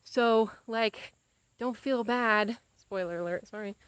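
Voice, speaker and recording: A woman's voice: fairly high pitch, takes it slowly, clear, resonant tone, dry recording. A young adult woman chatting casually and confessionally to a camera, animatedly retelling conversations. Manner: animated, acts out quoted conversations. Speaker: vlogger.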